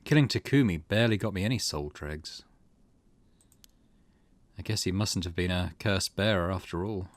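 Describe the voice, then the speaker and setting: A man's voice: low voice, moderate pace, long pauses, no echo. A man hosting a let's play, chatting casually, thinking aloud and reading game text out as he goes. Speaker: gaming channel host. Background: game music.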